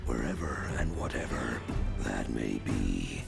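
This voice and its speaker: A man playing a haunted old male survivor in a video game cutscene, grim and secretive, speaking in a gravelly, hushed whisper. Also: a bass voice, lively intonation, slow pace, full character voice. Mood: ominous. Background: game music.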